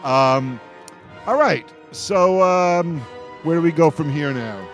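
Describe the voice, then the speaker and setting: A man's voice: fairly high pitch, lively intonation, takes it slowly, faint music behind. A man hosting a radio roundtable in casual chat, talking emphatically and often repeating his phrases. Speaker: radio host moderating a roundtable.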